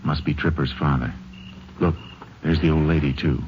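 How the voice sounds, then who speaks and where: Plain-spoken texas ranger, super low steady voice, faintly hissy old radio show. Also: male voice, normal speed. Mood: calm, businesslike. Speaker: lead of the show, also narrating. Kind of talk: 1950s radio western acting and narration. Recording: muffled old radio recording, faint hiss.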